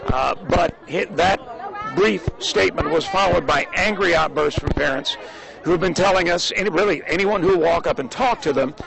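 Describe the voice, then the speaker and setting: An American man giving a live breaking news report from the field, in halting, improvised phrasing. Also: a little high, creaky, low-quality recording. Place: outdoors in a crowd. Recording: crowd noise at live location. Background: crowd of people.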